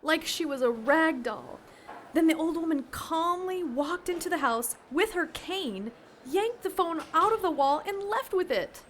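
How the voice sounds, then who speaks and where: A woman's voice: extremely high pitch, expressive, a little slow, fairly bright tone, slight background noise, heavily acted. An actress playing a worried, earnest woman seeking help in an audio drama.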